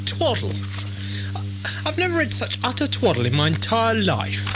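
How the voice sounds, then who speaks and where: A man's voice: sits high, wildly swooping pitch, moderate pace, rough recording. A man playing a bored, pompous, peevish space traveller in an audio drama, complaining in a sardonic way. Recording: amateur 1980s drama tape over loud music.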